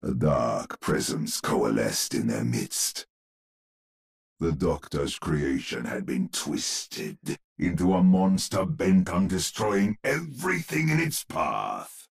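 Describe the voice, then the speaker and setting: An actor playing an ominous storyteller of a spooky video game tale, with a deep, husky, gravelly voice and a portentous manner. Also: male voice, sing-song, heavily acted. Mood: ominous, dramatic. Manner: slow, dramatic, portentous.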